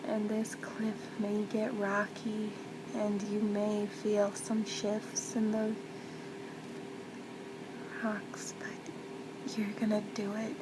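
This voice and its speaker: A woman playing a loving mother in an ASMR role play, speaking softly and tenderly, often near a whisper, to comfort and reassure her child. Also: mid-range voice, completely flat delivery, very slow, long pauses. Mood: reassuring, earnest. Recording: loud constant background noise, ambience.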